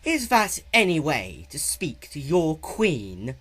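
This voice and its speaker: A man voicing a sinister, taunting female pony, a childlike dark queen, in a dramatic fan-fiction reading. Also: fairly high pitch, wildly swooping pitch, slow, husky, voice pushed up, full character voice. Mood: menacing.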